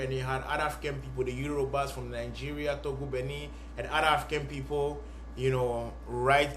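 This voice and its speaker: A man's voice: medium pitch, a little hiss or hum. A young man from Ghana and Sierra Leone speaking to camera in an earnest, emphatic vlog monologue, repeating phrases for effect. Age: young adult. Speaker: vlogger. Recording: echoey room.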